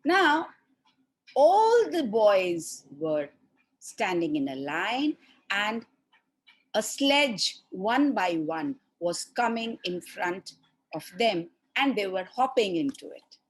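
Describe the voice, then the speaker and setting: A woman telling a fairy tale to children in an expressive style, with deliberate, dramatic pauses between short phrases. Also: medium pitch, breathy edge. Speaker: storyteller for children.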